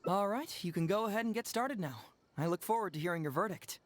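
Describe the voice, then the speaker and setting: A man playing a composed young detective in an anime-style video game, explaining in a measured, polite way. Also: high voice, lightly acted. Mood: composed instruction. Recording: game music behind the voice.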